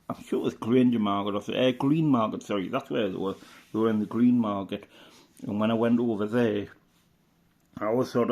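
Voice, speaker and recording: A middle-aged man from the UK, a radio hobbyist chatting casually through an old catalogue, rambling and unhurried with lots of fillers and restarts. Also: on the low side, a little mellow. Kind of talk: casual reminiscing commentary.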